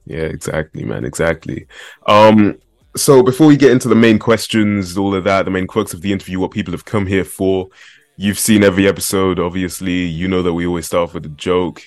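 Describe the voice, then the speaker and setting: A man's voice: low voice, brisk, darkish tone, no echo, studio quality. A man hosting a casual podcast interview, chatty and laid-back with plenty of slang. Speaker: podcast host.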